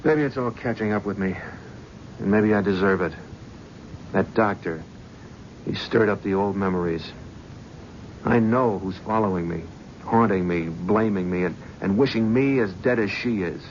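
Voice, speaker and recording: Actor as guilty aging talent scout, panicky stammer, lowish voice, old muffled radio sound. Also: male voice, normal speed, pauses often, a little hiss or hum, lightly acted. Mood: confessional. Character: guilt-ridden middle-aged male talent scout, haunted. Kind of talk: 1970s radio drama acting. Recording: dull old radio broadcast.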